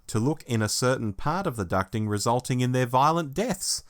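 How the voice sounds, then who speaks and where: A man's voice: medium pitch, lively intonation, moderate pace. A man hosting a review podcast, reading out a prepared plot summary in a storytelling way. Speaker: podcast host.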